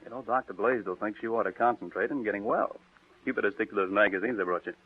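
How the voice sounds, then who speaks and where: A muffled, narrow-band old radio recording of a scheming nephew. His voice is lowish and a little husky, with the nervy delivery of vintage radio drama. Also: quick pace. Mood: falsely solicitous. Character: scheming, nervous nephew awaiting his inheritance.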